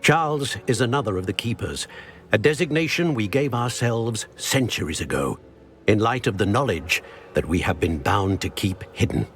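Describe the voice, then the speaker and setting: An ancient wizard speaking from a portrait in a video game, grave and formal. His voice sits low but moves through a wide, expressive range as he lays out each point with measured care. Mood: solemn. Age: older. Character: ancient male wizard in a talking portrait, solemn. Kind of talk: video game cutscene acting.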